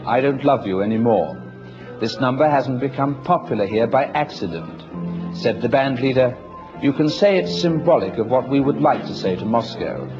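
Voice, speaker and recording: A man with a medium-pitched voice reads a scripted news report. The archive recording is dull, with music clearly heard behind him. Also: expressive, normal speed.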